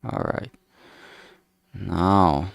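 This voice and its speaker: A man with a slightly low but clean, smooth voice talks his way through a solo work stream. He speaks very slowly, rambling and losing his sentences, with frank, casual swearing. The sound is close and dry. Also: steady tone, no echo. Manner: halting, rambling, trailing off mid-sentence. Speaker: solo streamer developing a visual novel.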